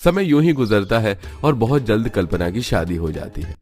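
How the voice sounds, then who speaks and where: Male, low voice, scripted story narrator, animated family tale. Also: normal speed, faint music behind.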